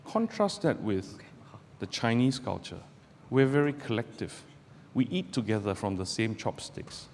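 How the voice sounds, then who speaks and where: A middle-aged man from Malaysia, a barrister giving a measured, deliberate public talk to students from a podium in a lecture hall. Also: medium pitch, very animated, a little mellow. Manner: measured, deliberate delivery with pauses. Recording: roomy hall with long reverb, faint background noise.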